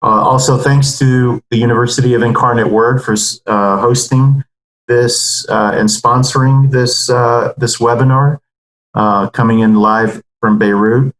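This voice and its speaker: A man's voice: medium pitch, fairly even intonation, a little slow, a bit bright, echoey room, poor audio. Man hosting a webinar, heavy fillers. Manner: many ums and uhs, loose phrasing. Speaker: webinar host. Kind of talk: moderating a webinar. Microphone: video call.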